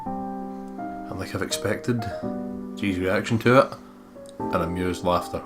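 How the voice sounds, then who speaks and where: A man's voice: deep voice, takes it slowly. A man hosting a let's play, reading story narration aloud and commenting casually. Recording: music playing behind the voice.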